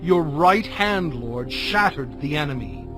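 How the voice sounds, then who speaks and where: An actor playing Moses, a fervent and reverent prophet, declaiming scripture in a measured way. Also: male voice, on the high side, animated, music in the background. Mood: reverent, awed. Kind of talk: dramatized scripture recitation.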